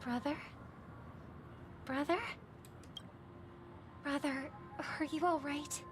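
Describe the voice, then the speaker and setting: An actress playing a gentle, sincere young sister in a video game, speaking politely and earnestly. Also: female voice, sits high, fairly even intonation, drawn-out words, background noise. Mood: concerned. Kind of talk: dramatic video game voice acting. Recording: music behind the voice.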